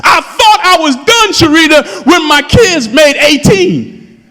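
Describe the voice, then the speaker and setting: Fervent man in his fifties, soaring high swooping delivery, preaching in a church with a long echo. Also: expressive, slow, bright, forceful tone. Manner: impassioned preaching, calls out to the congregation. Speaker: pastor. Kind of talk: sermon. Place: church sanctuary. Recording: long hall reverb in a church.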